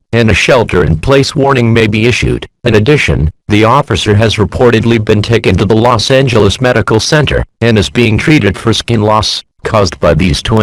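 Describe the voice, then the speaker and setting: A man playing an official emergency announcer, reading a scripted warning bulletin in a formal, impersonal, measured manner. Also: lowish voice, low-quality recording.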